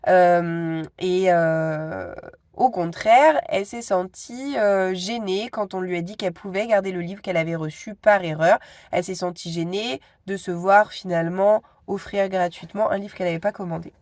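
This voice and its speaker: A woman's voice: on the low side, moderate pace, darkish tone, no room sound. A woman in her thirties with a cold, chatting casually to her phone camera at home, hesitant and full of asides. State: stuffy with a cold. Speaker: vlogger.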